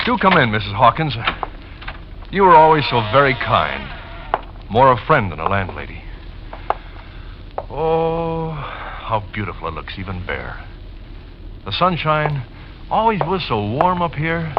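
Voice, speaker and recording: A dull, noisy old radio recording carries a gentle widower, earnest and nostalgic. His mid-pitched voice swings expressively as he clings politely to the memory of his old home. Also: wildly swooping pitch, a little slow, pauses often. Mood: wistful. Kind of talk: 1940s radio drama acting.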